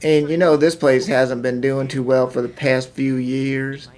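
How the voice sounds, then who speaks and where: A man's voice: medium pitch, little pitch movement, unhurried, darkish tone, natural voice. A man playing a meek, defeated farmer with folksy rural phrasing and a resigned manner in a fan-dubbed cartoon. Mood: dejected. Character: meek, defeated young male farmer, self-declared coward. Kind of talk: fan-dub cartoon acting.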